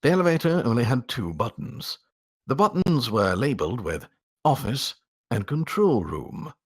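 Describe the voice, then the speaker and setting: A man reading a story aloud as a voice actor, with a theatrical, expressive storytelling delivery. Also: mid-range voice, moderate pace, breathy edge. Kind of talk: dramatic storytelling narration.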